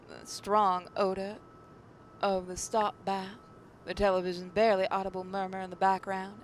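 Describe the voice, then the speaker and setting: A woman reads story narration aloud in a dramatic style at a middling pitch, sometimes tripping over her words. There is a noticeable low rumble of noise behind her. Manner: stumbles over words while reading. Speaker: gaming channel narrator reading a visual novel aloud.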